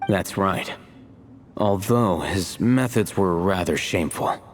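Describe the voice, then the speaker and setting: A man's voice: low voice, takes it slowly. An actor playing a composed, aloof, erudite young male scholar in a video game, dry and matter-of-fact. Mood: analytical. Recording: long hall reverb, background noise.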